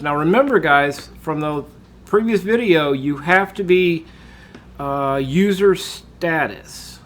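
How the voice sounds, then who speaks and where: A man, congested from a cold, talking through a screen-recorded software tutorial in a relaxed way, with lots of uh and um. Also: fairly high pitch. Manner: relaxed, with frequent uh and um fillers. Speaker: tutorial host.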